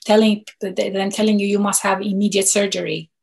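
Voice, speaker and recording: Husky Romanian-born woman telling her story. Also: mid-range voice, little pitch movement, a little mellow. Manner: halting, restarts often, emotional. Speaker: guest. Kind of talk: personal story in an interview.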